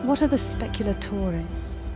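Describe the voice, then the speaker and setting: A woman's voice: mid-range voice, expressive, normal speed, over music, close to an everyday voice. An actress playing a poised, determined young Roman noblewoman in scripted game dialogue. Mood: composed. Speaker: protagonist of a role-playing game.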